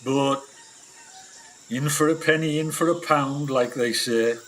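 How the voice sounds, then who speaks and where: An actor playing a cynical, complaining research scientist on a jungle expedition in a radio play. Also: male voice, mid-range voice, fairly even intonation, a little slow, fairly bright tone, a little hiss or hum, lightly acted, low-quality recording. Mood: grumbling, sardonic. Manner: gruff complaining, sardonic, mild swearing.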